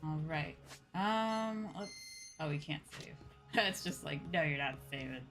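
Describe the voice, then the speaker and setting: A woman giving casual, rambling, soft-hearted live commentary as she streams a video game, speaking into a close mic. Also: deep voice, animated, a little slow, faint music behind.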